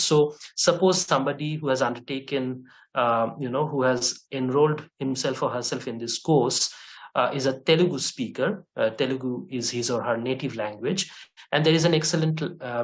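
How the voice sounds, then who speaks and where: A man with a mid-range voice talks through a point in an online course discussion. He hesitates and restarts often, filling his pauses with "uh". English is his third language. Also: mid-range voice, low-quality recording. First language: not English. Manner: hesitant, many fillers and restarts. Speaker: course instructor.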